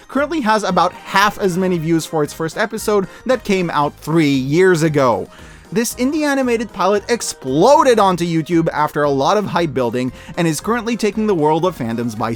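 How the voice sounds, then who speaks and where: High-voiced, animated man reviewing media. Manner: emphatic, hyperbolic, informal with swearing. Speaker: video essayist reviewing media. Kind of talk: opinionated scripted review narration.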